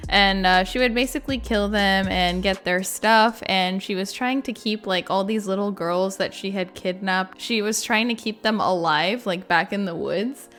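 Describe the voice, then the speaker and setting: A woman's voice: mid-range voice, moderate pace, hardly any pauses, a bit bright, soft background music. A woman giving casual reaction commentary in an informal, chatty way, with frequent use of "like". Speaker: gaming video creator.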